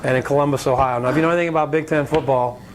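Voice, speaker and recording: An American man with a slightly raspy voice giving an informal, conversational talk as a guest speaker, telling anecdotes, joking and drifting into tangents. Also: steady tone, racing. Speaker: guest speaker, business leader. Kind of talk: informal lecture with anecdotes and audience questions. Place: college lecture event before an audience. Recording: rumbly live talk with background noise.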